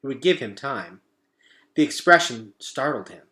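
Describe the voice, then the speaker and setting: A man's voice: medium pitch, lively intonation, normal speed, pushed and bright. A man narrating a children's novel expressively as a read-aloud storyteller.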